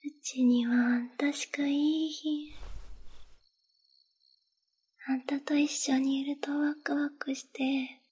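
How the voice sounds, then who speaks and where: A devoted, clingy young woman with a Kansai accent, put on by a woman in an ASMR roleplay, whispering close and breathy. Her voice sits high but barely moves in pitch, and she speaks slowly and evenly. She sounds intimate and hushed throughout. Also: flat delivery. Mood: adoring.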